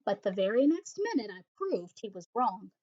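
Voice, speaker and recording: A woman teacher reading a rhyming children's picture book aloud in a rhythmic, expressive way. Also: medium pitch, moderate pace. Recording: slightly dull lo-fi audio.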